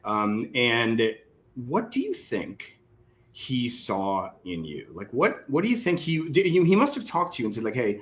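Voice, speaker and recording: A man's voice: medium pitch, wildly swooping pitch, measured pace, a little hiss or hum. A man hosting a casual literary interview, speaking in a rambling, conversational way with lots of "like". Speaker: interviewer, host.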